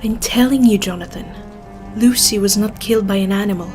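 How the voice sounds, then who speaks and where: A woman's voice: mid-range voice, fairly quick, darkish tone, soft background music, natural voice. An actress playing a resolute, grieving Victorian woman in an audio drama, speaking formally and with determination. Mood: insistent.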